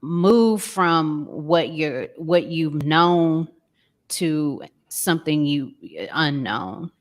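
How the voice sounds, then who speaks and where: A woman's voice: deep voice, animated, very slow. A woman speaking casually and hesitantly as a panelist on a music podcast.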